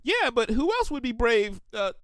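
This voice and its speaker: A man's voice: very high-pitched, sing-song, normal speed, a bit bright, dry recording, studio quality, voice pushed up, heavily acted. A man voicing a starstruck female pegasus in a story, eager and gushing in a slightly creepy way. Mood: admiring.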